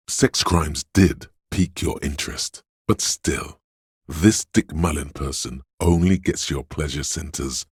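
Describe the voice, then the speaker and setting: A man voicing a video game's inner voice of addiction, a sleazy, hedonistic tempter who coaxes and indulges in a gravelly, husky, theatrical voice. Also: extremely low pitch, dry recording, clean studio sound, heavily acted. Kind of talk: video game character voice lines.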